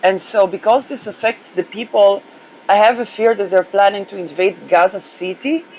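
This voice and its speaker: Hoarse woman rambling through a solo monologue. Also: medium pitch, normal speed, highs cut off, background noise. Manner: hesitant, many fillers and restarts. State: losing her voice. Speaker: astrology podcast host.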